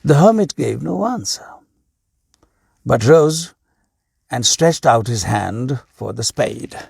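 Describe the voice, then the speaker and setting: Male storyteller. Raspy, slow delivery with deliberate pauses, reading a scripted tale aloud. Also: medium pitch, expressive, darkish tone, no echo, clean studio sound. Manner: deliberate, with pauses between short phrases.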